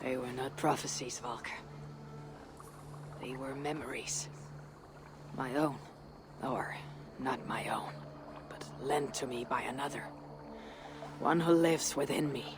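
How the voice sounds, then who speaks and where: An actress playing a weathered Viking warrior woman in a game cutscene, speaking solemnly and formally with a husky, sometimes breathy voice. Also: very deep voice, noisy background. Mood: solemn, intimate. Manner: measured, solemn, formal phrasing. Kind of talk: dramatic video game cutscene acting.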